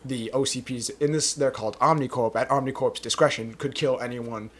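A man's voice: mid-range voice, fairly even intonation, a little fast, fairly bright tone. Man casually summarizing a film plot. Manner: rambling, hedges with "kind of". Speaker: podcast co-host.

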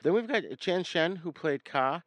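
A man's voice: high voice, animated, normal speed, fairly bright tone, dry recording, rough recording. A man with a New England accent hosting a casual film discussion in a jokey, informal way. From New England, United States. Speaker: podcast host.

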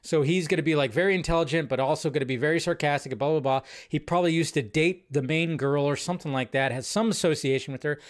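Sardonic, higher-voiced man reacting off the cuff. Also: fast, few pauses. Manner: rambling, sardonic, swears casually. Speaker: host of a movie news live show. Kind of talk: casual live trailer reaction commentary.

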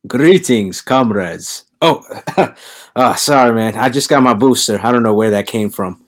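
Male podcast host, average pitch, jokey ribbing tone. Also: measured pace. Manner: joking, teasing, laughs often. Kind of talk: casual podcast chat.

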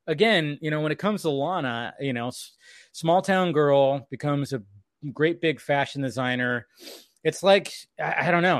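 A man with a slightly high voice talks through a recap as a co-host. His delivery is casual and rambling, with quoted lines dropped in conversationally. The sound is dry, with no room echo. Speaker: podcast co-host. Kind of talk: casual episode recap commentary.

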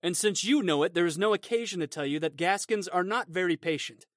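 A man voicing a young Gascon who is eager, formally polite and quick to take offense, in a dramatized storybook reading. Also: sits high, fairly bright tone, dry recording, studio quality, voice pushed up. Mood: offended, proud. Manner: courteous, formal, earnest.

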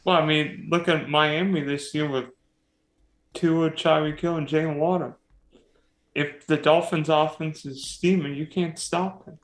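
A man's voice: on the high side, fairly even intonation, unhurried. A man hosting a casual sports podcast, teasing and quizzing his guest. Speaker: podcast host. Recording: roomy echo.

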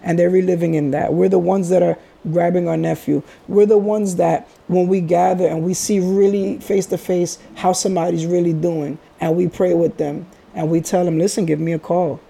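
A man's voice: sits high, fairly even intonation, moderate pace, creaky, few pauses, warm tone, breathy edge. A middle-aged man from the Bronx hosting a podcast, talking in a reflective, rambling way and laughing often. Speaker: podcast host. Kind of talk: casual podcast chat.

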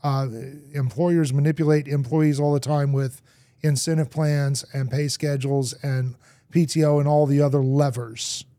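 A man who grew up in Argentina, a podcast guest telling lively stories in conversation. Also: on the high side, moderate pace, no room sound. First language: English. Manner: lively storyteller acting out anecdotes. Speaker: guest, marketing executive.

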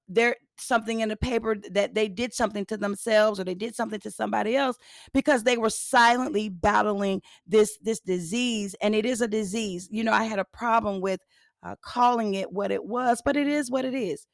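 A hoarse, raspy woman hosting a motivational podcast, repeating phrases for emphasis. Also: fairly high pitch, dry recording, clean studio sound. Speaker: podcast host. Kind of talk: upbeat motivational podcast talk.

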